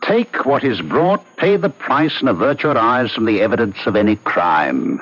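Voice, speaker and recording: An actor playing a secretive, domineering young medical student in the body trade, terse in old radio drama style. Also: male voice, medium pitch, very animated, normal speed, lightly acted. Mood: cold, commanding. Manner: commanding, terse. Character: cold, secretive, charismatic male medical student and body snatcher. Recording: dull lo-fi radio recording, faint noise.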